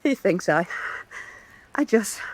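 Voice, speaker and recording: An actress playing a proud, intense woman painter in a radio drama, declaiming with scorn and dramatic pauses. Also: medium pitch, expressive. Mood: restless, insistent. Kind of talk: theatrical radio drama acting.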